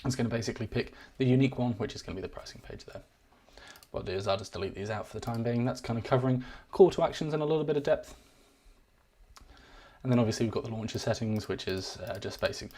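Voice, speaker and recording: A man giving a live-streamed software walkthrough, rambling and self-deprecating, his voice wearing thin. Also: mid-range voice, quick pace. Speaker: software demo presenter.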